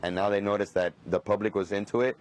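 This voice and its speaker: A man's voice: lowish voice, fairly even intonation, fast talker. A man speaking as an interviewee in a news story, a family caregiver giving his account.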